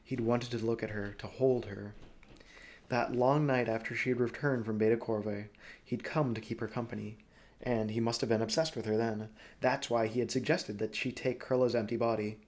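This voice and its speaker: A man's voice: mid-range voice, fairly even intonation, normal speed, a little mellow. Man reading fiction aloud with drama. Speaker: audiobook reader. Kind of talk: expressive fiction narration.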